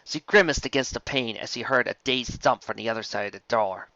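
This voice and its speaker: A man's voice: medium pitch, a little fast, bright, forceful tone, no room sound. A man giving a live storybook narration as the reader, on a dry, lo-fi recording. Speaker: narrator and reader of fan fiction.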